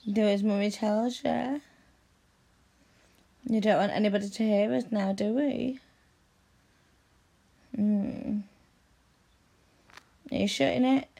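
A woman in intimate audio roleplay, speaking softly and coaxingly and often dropping into a whisper. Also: medium pitch, creaky. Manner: soft, slow and coaxing, often drops to a whisper.

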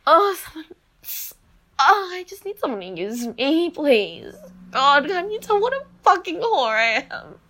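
A woman in bed at night, exhausted, talking in a breathy, whispery, trembling voice that breaks into moans. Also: high-pitched, big pitch swings, unhurried, sharp tone. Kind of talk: intimate erotic audio monologue.

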